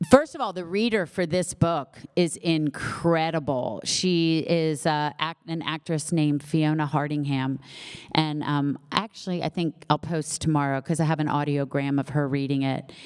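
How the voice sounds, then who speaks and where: A middle-aged woman with a slight lisp talks with an event audience in a loose, self-deprecating way, full of "um"s and asides. Her voice sits a little low and sounds smooth and clear. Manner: chatty, warm-humored, self-deprecating, many fillers. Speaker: author at book launch. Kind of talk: casual Q&A chat with audience. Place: event hall with live audience.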